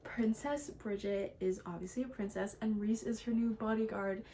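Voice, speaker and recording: A woman's voice: mid-range voice, steady tone, normal speed, mellow, slight background noise, rough recording. A woman book vlogger talking casually to her viewers in a gushing, emphatic way, often repeating words for emphasis. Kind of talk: casual chatty video talk about books.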